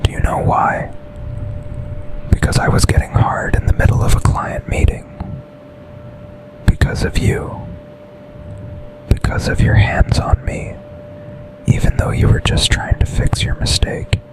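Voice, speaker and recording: A man playing a smitten, commanding yet tender boss and secret lover in an intimate ASMR roleplay, speaking in a breathy, seductive whisper. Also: close to an everyday voice, low-quality recording. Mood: confessional, longing. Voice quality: breathy, almost entirely unvoiced whisper. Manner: slow, deliberate, seductive, intimate.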